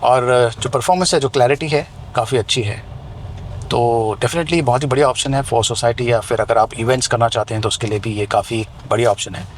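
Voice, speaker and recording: Indian guy doing a gadget review outside, explains stuff casually, normal pitch, bit of background noise. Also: a little fast. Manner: explanatory, conversational. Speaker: tech reviewer and vlogger. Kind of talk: hands-on product review, mixing Hindi and English. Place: outdoors near residential buildings. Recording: noisy outdoor ambience.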